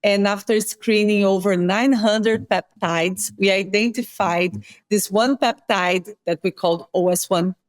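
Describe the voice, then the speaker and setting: A woman with a Brazilian accent explains things in a measured, explanatory way, pausing to correct herself. Her voice sits in a middle pitch, close and dry on the mic, with no room sound. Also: fairly even intonation, no room sound. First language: Portuguese. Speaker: guest scientist. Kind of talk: interview explanation.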